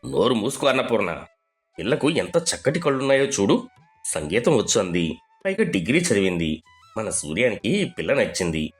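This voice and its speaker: A man's voice: lowish voice, sing-song, normal speed, faint music behind. A man voicing a sensible village father-in-law who teases and chides in a reasonable way, in a moral story. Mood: chiding. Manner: chiding, reasonable. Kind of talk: Telugu moral story character voice.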